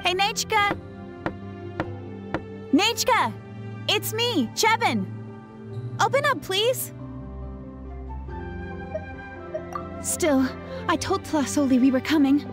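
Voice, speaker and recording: An excitable young villager in a video game. The voice is very high and lively, swinging widely in pitch, with dramatic exclamations. Music plays clearly behind it. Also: female voice, measured pace, long pauses. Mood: urgent. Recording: background music under the voice.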